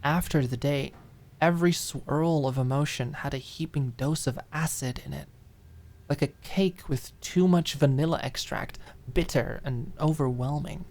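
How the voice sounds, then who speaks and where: A woman's voice: sits low, a little slow, darkish tone. A woman reading a dramatic fiction audiobook expressively, lingering on key words. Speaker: audiobook narrator. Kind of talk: dramatic scripted fiction narration.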